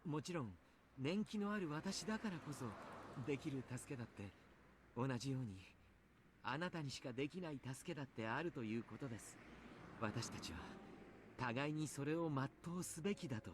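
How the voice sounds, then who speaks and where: An actor playing a gentle, courteous adult mentor with formal, sincere phrasing in a Japanese visual novel. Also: male voice, mid-range voice, expressive, quick pace, background noise, natural voice. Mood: earnest, encouraging.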